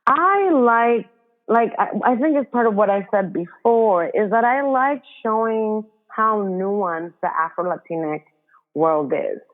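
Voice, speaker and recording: A woman's voice: medium pitch, lively intonation, takes it slowly. Woman author on a tinny phone-like line. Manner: earnest, thinking aloud with many fillers. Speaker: guest author. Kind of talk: casual interview talk.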